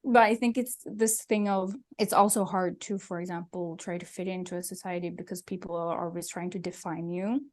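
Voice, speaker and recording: A woman's voice: on the low side, fairly even intonation, brisk, hardly any pauses. A young woman born and raised in Sweden, talking casually as a podcast co-host, full of "like" fillers and quoted remarks. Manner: chatty, quotes others in a lifted voice, many "like" fillers. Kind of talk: casual chat.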